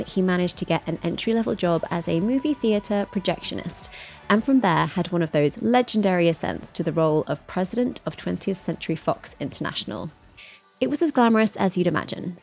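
A woman's voice: fairly low pitch, vocal fry, darkish tone. A young woman hosting a travel podcast interview in an upbeat manner, laughing often. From United Kingdom. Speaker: podcast host.

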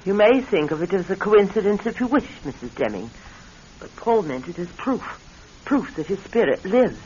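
An actress playing a gracious, mysterious spirit medium in an old radio drama, courteous and solemnly assured. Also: female voice, mid-range voice, sing-song, moderate pace, dark tone, natural voice. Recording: muffled, hissy vintage radio recording.

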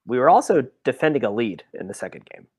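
A man's voice: mid-range voice, very animated, fast, darkish tone, breathy edge, no room sound, clean studio sound. A man giving his take in a casual sports podcast chat. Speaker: soccer podcast co-host.

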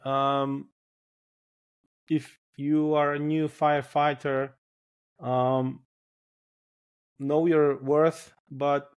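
A man's voice: mid-range voice, a little mellow. A man with a Polish accent, a firefighter guest on a podcast, speaking thoughtfully and often repeating words as he searches for phrasing. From Poland. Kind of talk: casual podcast interview.

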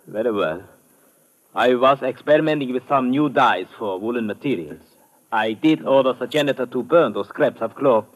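An actor playing a defensive, evasive laboratory worker in a radio drama, indignant. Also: male voice, mid-range voice, normal speed, lightly acted, poor audio. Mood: grudging, defensive. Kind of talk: old-time radio drama acting. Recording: vintage radio broadcast recording.

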